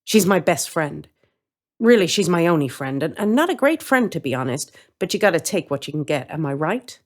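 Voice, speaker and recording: Woman playing a pushy streetwise woman, low-pitched. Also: very animated, a little fast. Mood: pushy, scheming. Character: brash street worker friend, scheming, ambitious, cigarette-smoking woman.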